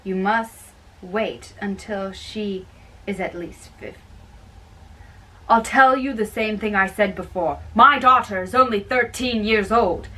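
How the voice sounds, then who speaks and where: A woman doing an amateur dramatic reading of a play, haltingly, often repeating and correcting her lines. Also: mid-range voice, bright, forceful tone, distant mic, rough recording.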